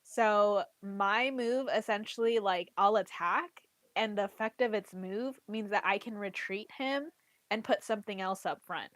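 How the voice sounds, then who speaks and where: A woman's voice: mid-range voice, measured pace, fairly bright tone, no room sound. A woman chatting casually about playing a card game, with a congested voice from a cold and a habit of saying "like" and "literally". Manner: chatty, heavy use of "like" and "literally". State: congested, getting over a cold. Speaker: trading card game player and content creator. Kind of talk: casual chat.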